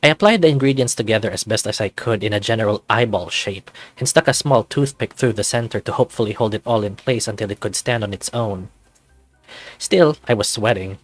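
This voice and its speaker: A man's voice: fairly low pitch, fairly quick, dry recording, faint music behind. A man reading scripted first-person story narration for a visual novel.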